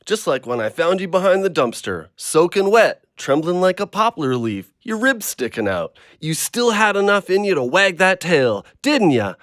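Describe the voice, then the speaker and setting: A man's voice: high-pitched, very animated, moderate pace, hardly any pauses, a bit bright, no echo, clean studio sound. A man playing a weary but kindly man down on his luck in an audio drama, with a folksy, colloquial manner. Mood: affectionate.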